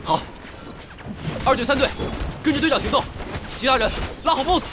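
An actor playing an eager, deferential young guild lieutenant in an audio drama, giving urgent battle reports. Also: male voice, extremely high pitch, moderate pace. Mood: commanding. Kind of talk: Mandarin audio drama acting. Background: music with battle effects and gunfire.

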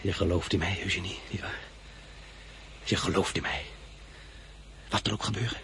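An actor playing a confident, ambitious young officer in a radio drama. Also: male voice, deep voice, steady tone, normal speed, a bit bright, a little hiss or hum. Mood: intimate. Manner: confident, grandiose. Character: young ambitious officer, grand and self-assured.

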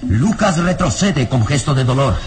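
A man narrating a radio serial in a solemn, suspenseful style with deliberate phrasing. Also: medium pitch, animated. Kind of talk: dramatic scripted radionovela narration. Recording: radio drama with clear music bed.

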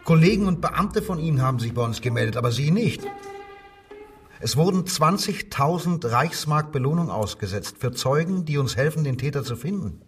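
A man's voice: a little high, lively intonation, normal speed, slightly rough, recorded from a distance, faint music behind. An actor playing a male Nazi-era police inspector in a radio crime drama, falsely genial, probing and menacing. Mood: pointed, official. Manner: feigned joviality, probing, insinuating. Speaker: interrogating police detective.

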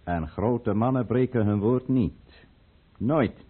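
An actor playing a proud, resolute conspirator count in a radio drama, with grave, commanding, theatrical delivery. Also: male voice, medium pitch, takes it slowly, noisy background, close to an everyday voice. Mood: commanding, brooding. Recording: muffled, narrow-band old radio play recording.

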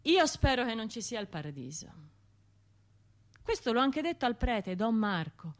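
A woman's voice: on the high side, big pitch swings, moderate pace. An actress playing a bitter, sardonic, grieving woman with a Tuscan accent in a colloquial radio drama monologue. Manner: colloquial, sardonic, acting out other people's remarks. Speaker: stage and radio actor.

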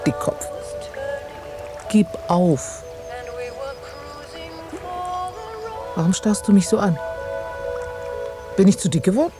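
An actress playing a teasing, insistently questioning woman in a German radio drama. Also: on the low side. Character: teasing, probing woman, a biologist and old flame.

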